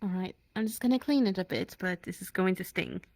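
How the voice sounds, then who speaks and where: Female roleplayer as town medic: medium pitch, matter-of-fact, slightly hesitant, dry close sound. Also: a little fast, darkish tone, dry recording. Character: town medic tending a wounded hunter. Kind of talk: improvised roleplay dialogue.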